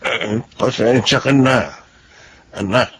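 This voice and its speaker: A man acting a gentle, apologetic middle-aged father in a Filipino radio drama, with a Filipino accent. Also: medium pitch, slight background noise. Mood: apologetic, concerned. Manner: slow, apologetic.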